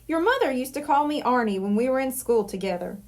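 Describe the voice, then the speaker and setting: A woman's voice: sits high, animated, fast talker. A woman reading the part of a friendly, playful middle-aged male hypnotist in a children's storybook, courteous and jovial. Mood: welcoming.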